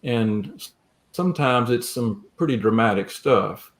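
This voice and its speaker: A man speaking as an interview guest, reflective and measured, with a gentle, earnest manner. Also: medium pitch, animated. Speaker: guest, creative writing teacher. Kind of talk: reflective interview talk and poem reading.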